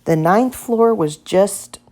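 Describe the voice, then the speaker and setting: A woman reading a children's picture book aloud as expressive, scripted narration. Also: medium pitch, takes it slowly. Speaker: storybook reader.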